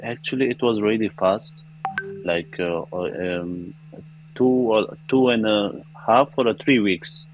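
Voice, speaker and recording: A man's voice: lowish voice, slow pace, muffled recording, soft background music. A man from Afghanistan with an Afghan accent, answering interview questions casually in simple, hesitant English with repeated words. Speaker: interview guest, student. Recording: noticeable background noise.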